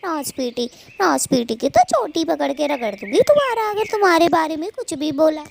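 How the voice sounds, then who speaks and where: A fiery little female cartoon bird, extremely high and creaky. She scolds and gossips in bossy, dramatic bursts with big swoops in pitch, over faint background noise. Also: lively intonation, moderate pace. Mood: doting, then indignant. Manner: scolding, chatty, dramatic colloquial delivery. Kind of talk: children's moral-story cartoon dubbing.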